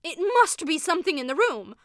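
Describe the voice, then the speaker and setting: A woman's voice: fairly high pitch, sing-song, brisk, no room sound, clean studio sound. A woman voicing a frightened older woman who stammers in horror. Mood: scared. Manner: stammering, scared.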